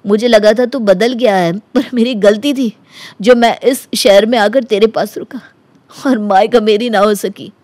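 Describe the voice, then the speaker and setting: A woman voicing an earnest, wronged young man who talks reproachfully in an animated story. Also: on the high side, measured pace, no room sound. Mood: hurt, accusing. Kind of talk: Hindi animated story dialogue.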